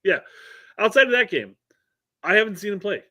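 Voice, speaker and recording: Bright-voiced man, stammering restarts. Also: sits high, animated, normal speed. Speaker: host. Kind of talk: casual podcast chat.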